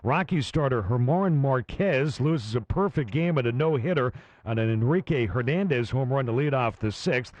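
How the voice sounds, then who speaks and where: A male sports anchor reads a radio scoreboard update. His medium-pitched voice comes through dry and close, recorded in a studio. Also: lively intonation, normal speed, darkish tone, no room sound.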